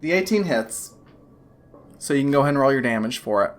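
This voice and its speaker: A woman's voice: rumbling low, lively intonation, a little fast. Rambling woman game master. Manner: loose, full of "like" and "kinda" fillers. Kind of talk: narrating a tabletop game.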